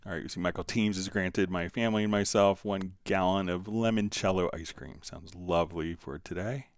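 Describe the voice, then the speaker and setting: A man's voice: low-pitched, fairly even intonation, normal speed, no room sound, studio quality. A man hosting a casual live Q&A, conversationally reading out viewer comments and answering them. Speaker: livestream host answering software questions.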